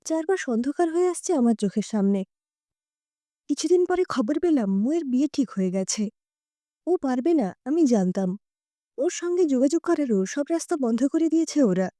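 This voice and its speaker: Female narrator for emotional audio drama: high pitch, very soft mellow tone, dry close sound. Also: lively intonation, normal speed, no room sound. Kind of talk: dramatic first-person story narration.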